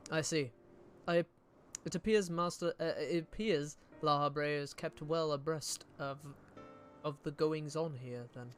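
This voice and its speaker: A polite adventurer heroine asks questions in measured, formal phrasing, read by a man. The voice sits somewhat high, with faint game music underneath. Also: natural voice.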